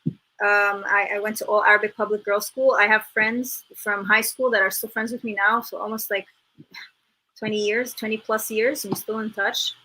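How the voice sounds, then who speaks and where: A woman's voice: medium pitch, fairly even intonation, normal speed, bright, forceful tone, a little hiss or hum, low-quality recording. A woman in her thirties from Egypt, a filmmaker guest talking casually and reflectively over a remote call, often restarting and filling with "like". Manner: reflective, many restarts and "like" fillers. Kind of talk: casual interview talk.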